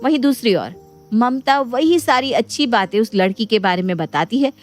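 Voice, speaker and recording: A woman's voice: a little high, expressive, moderate pace, soft background music. A woman narrator reading a scripted story.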